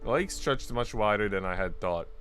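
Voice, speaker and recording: A man with a fairly low voice is streaming a visual novel read-aloud. He moves between story narration and loose, slangy talk with his viewers, while faint guitar music plays behind him. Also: animated, normal speed, a bit bright. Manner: casual, slangy asides. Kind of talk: calm story read-aloud mixed with casual chat to viewers. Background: guitar and instrumental music.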